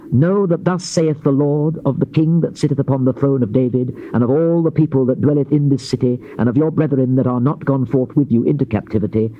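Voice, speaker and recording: An actor playing a grave Old Testament prophet in a dramatized scripture reading, declaiming in measured, archaic phrases. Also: male voice, medium pitch, close to an everyday voice. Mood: stern, warning. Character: solemn male Old Testament prophet proclaiming divine judgment. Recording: dull, noisy old cassette tape.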